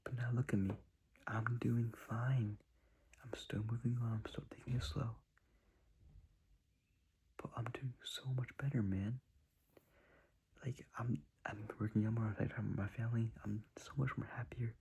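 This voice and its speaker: Whispering young man, raspy and flat. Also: mid-range voice, normal speed, long pauses. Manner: earnest, repetitive, rambling. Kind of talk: whispered advice monologue.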